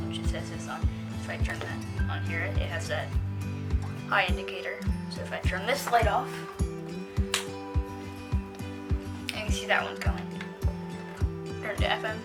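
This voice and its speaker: A female-sounding reviewer giving a casual, unscripted product demonstration, talking in a hesitant, rambling way. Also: mid-range voice.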